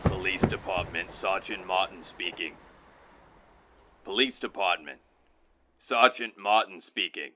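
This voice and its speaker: A man's voice: deep voice, unhurried, long pauses. A man playing a businesslike police sergeant answering the phone in a radio drama, with noise behind him. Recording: clear background noise.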